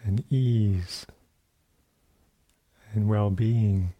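Male meditation teacher, low-pitched and very unhurried, gentle delivery in short phrases with generous silences. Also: steady tone, lo-fi sound. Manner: gentle, sparse phrases with long pauses. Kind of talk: guided meditation. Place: meditation center hall.

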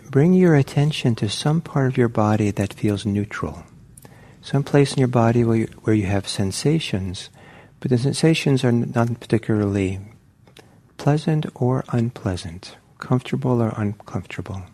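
A man who is a native Norwegian speaker gives a gentle, halting dharma talk as a meditation teacher, leading an online session with many pauses and fillers. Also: medium pitch.

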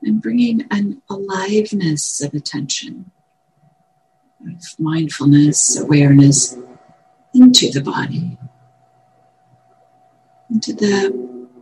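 A woman meditation teacher guiding a meditation in gentle, measured short phrases with long pauses. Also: deep voice, low-quality recording. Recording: clear background noise, room ambience.

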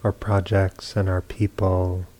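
A man with a deep, mellow voice guides a meditation. He speaks in short, measured phrases and leaves long pauses between them. His delivery is very even, with little melodic movement. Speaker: meditation teacher. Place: meditation retreat hall.

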